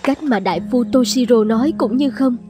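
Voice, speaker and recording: A woman's voice: a little high, normal speed, over music, close to an everyday voice. A woman voicing an anxious, hard-pressing wealthy wife and mother in a cartoon fairy tale, fretful and insistent.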